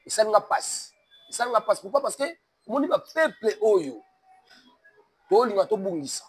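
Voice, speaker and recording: High-voiced Congolese man preaching. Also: lively intonation, normal speed, breathy edge. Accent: Congolese French. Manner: emphatic, mixes French and Lingala, sermon cadence. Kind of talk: preaching-toned interview talk.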